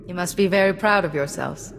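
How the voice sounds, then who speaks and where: An actress playing a composed, supportive Jedi diplomat in a video game, speaking politely and with measured delivery. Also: female voice, lowish voice, vocal fry, fairly bright tone, in a large hall. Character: calm, courteous, supportive female Jedi diplomat. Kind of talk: scripted video game acting. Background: music.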